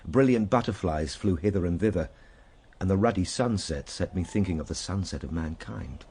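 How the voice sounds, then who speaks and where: An actor playing a reflective gentleman traveller, narrating his journey in a measured, literary, solemn manner for a radio drama. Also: low voice, expressive, breathy edge, exaggerated voice. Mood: solemn wonder.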